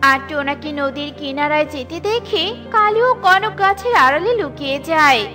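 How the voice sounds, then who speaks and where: A woman narrating a cartoon story in a lively storytelling way. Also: high voice, normal speed. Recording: with light background music.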